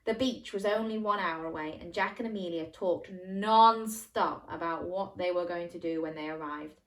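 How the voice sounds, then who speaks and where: A woman reading a children's story aloud, animated and playful, breaking off to ask the listener questions. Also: on the low side, normal speed, rough recording. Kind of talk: interactive bedtime story reading for young children.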